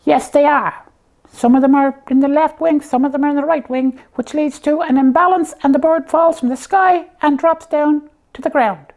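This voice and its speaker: A tiny, very high puppet voice, belonging to a slipper who hosts a comedy show. It explains things in simple, halting, matter-of-fact phrases and is easily exasperated. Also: female voice, steady tone, a little mellow. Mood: explaining. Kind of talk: comic puppet dialogue explaining a topic simply.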